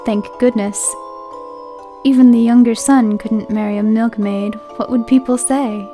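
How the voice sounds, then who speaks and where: A woman voicing an earnest young nobleman from a game, reading his lines gently. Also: on the high side, fairly even intonation, measured pace, faint music behind.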